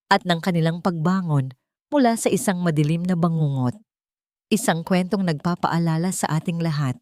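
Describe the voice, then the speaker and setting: A woman's voice: on the low side, mellow, no room sound, clean studio sound. A woman giving an earnest, scripted dramatic narration as a sentimental storyteller. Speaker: storyteller and channel host.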